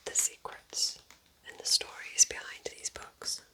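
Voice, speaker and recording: A woman whispering breathily and gently in an unhurried, chatty ASMR style, as a kind witch recommending books. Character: kind witch welcoming a visitor to her cabin. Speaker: ASMR roleplay host.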